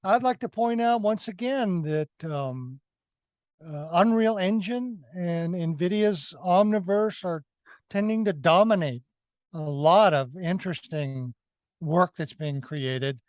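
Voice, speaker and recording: A man co-hosting a review discussion, explaining his views casually and haltingly, often repeating and correcting himself. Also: high voice, darkish tone, no room sound, studio quality. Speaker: podcast co-host reviewing films.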